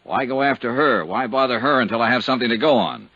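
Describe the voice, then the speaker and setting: An actor playing a hard-boiled insurance investigator in a 1950s radio drama, clipped, dry and matter-of-fact. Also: male voice, medium pitch, animated, quick pace, bright, forceful tone, breathy edge, no echo. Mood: businesslike. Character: brisk, hard-boiled insurance investigator narrating his case. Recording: dull 1950s radio recording, music behind.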